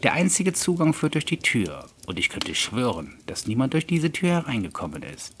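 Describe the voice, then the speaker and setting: Man acting an astonished, higher-voiced gentleman lawyer. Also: very animated, moderate pace, a little hiss or hum. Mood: astonished, polite. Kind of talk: audiobook character dialogue.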